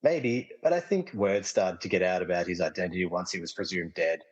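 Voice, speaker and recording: A man playing an easygoing, casually explaining film lover trapped in a movie, in a comedy radio play, with a husky voice and long reverb. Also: low voice, a little fast, lo-fi sound. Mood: amused, casual. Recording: long reverberant hall echo.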